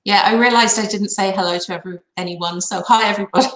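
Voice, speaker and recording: A woman's voice: mid-range voice, fairly even intonation, fast, fairly bright tone. A woman speaking casually as a guest on a livestream. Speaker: guest having a reading. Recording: roomy, echoing call audio.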